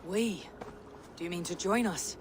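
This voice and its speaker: An actress playing a fierce, loyal young Viking warrior in a video game, speaking tersely and solemnly. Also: female voice, on the low side, measured pace, noisy background, lightly acted. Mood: grim. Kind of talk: video game cutscene acting.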